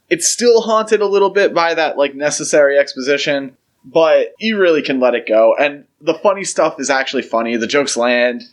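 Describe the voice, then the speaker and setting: A man co-hosting a podcast, giving his opinions in an informal, conversational review chat. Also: mid-range voice. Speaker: podcast co-host.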